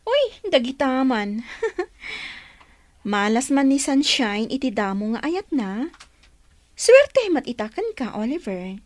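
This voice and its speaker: A woman's voice: high-pitched, slow pace, long pauses, no echo, full character voice. A woman playing a chirpy young best friend in a radio drama, playful and teasing. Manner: playful, animated delivery.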